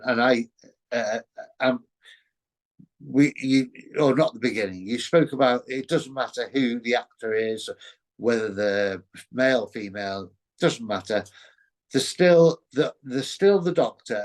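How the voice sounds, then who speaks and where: A man interviewing a guest casually, earnest and a little halting as he frames his questions. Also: mid-range voice, rough recording. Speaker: interviewer, show host.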